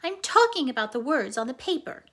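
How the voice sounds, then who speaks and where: A woman's voice: high voice, sing-song, brisk, a bit bright, voice pushed up, heavily acted. A woman voicing a strict, exasperated mother in a children's storybook, scolding in an emphatic, nagging way. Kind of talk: children's storybook read-aloud.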